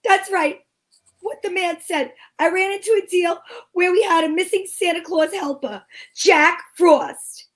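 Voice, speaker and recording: Woman acting a wisecracking lady detective in an old radio play, high voice, slangy n wry, kinda loose read. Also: a little slow. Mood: wry storytelling. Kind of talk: amateur reenactment of old-time radio detective drama.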